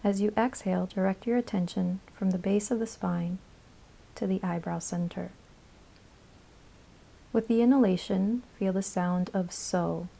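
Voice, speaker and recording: Gravelly, mellow woman guiding meditation. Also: mid-range voice. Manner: calm, soothing, instructional, with measured phrases and pauses. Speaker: yoga nidra meditation guide. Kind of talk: guided meditation narration.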